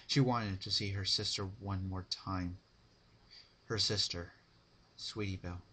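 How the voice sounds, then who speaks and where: A man's voice: sits low, steady tone, a little slow, sharp tone, slightly rough. A man narrating a dramatic reading of fan fiction, with some faint noise in the recording.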